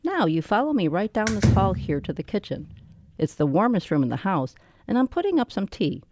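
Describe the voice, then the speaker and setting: A woman playing a gentle, lonely, eccentric old widow in a radio drama, chatty and politely hospitable. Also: mid-range voice, big pitch swings, a little fast, noisy background, close to an everyday voice.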